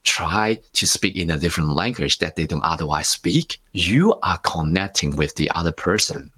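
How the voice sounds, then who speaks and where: A man's voice: low-pitched, lively intonation, moderate pace, bright, forceful tone, slightly rough. A man from Hong Kong whose first language is Cantonese, a life coach speaking as a podcast guest in a reflective, storytelling way. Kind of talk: podcast interview.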